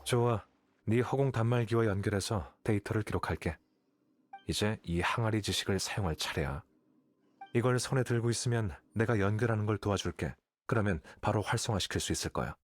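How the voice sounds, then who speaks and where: Man acting cool, low-voiced aloof young scholar. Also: lightly acted. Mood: matter-of-fact. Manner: detached, matter-of-fact, measured. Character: aloof, calm, calculating young male scholar. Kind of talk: scripted video game dialogue in Korean.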